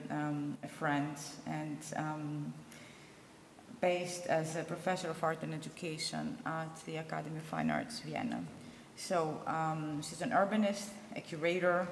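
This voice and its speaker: A middle-aged woman introducing a speaker from a lectern at a conference, halting with frequent ums. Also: low-pitched, a little hiss or hum. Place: large hall at a lectern. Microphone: handheld microphone. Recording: echoey hall, long reverb.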